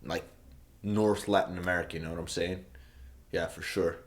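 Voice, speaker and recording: Young saudi guy, arabic is his first language, kinda low voice, rambling and restarting a lot, mock-serious about his song. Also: steady tone, normal speed. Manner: meandering, self-correcting, many fillers, mock-earnest. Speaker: songwriter explaining his song. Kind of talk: casual rambling explanation.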